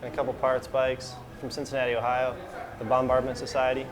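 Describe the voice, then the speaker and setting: Man, twenties, even monotone, mid pitch, casual interviewee. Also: brisk, over music, rough recording. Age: 20s.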